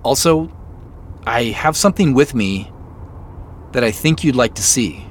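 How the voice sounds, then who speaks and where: A man acting in an audio drama as a guarded, firm character who speaks in deliberate, clipped phrases. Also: medium pitch, expressive, slight background noise, natural voice. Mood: serious, tentative.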